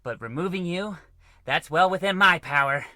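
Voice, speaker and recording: A scornful, melodramatic space mutineer voiced by a man in an old-style radio comedy. The voice is very sharp and high, haughty and full of menace, recorded dry. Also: normal speed, no room sound. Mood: indignant. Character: indignant, scornful mutinous male space officer.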